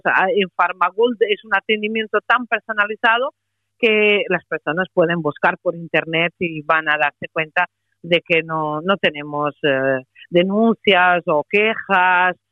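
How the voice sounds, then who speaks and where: A woman with a Portuguese accent, a company representative making a persuasive sales pitch by phone on the radio, hesitating often with "eh". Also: no echo. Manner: persuasive, many hesitations and "eh" fillers. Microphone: telephone. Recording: tinny phone line, dry.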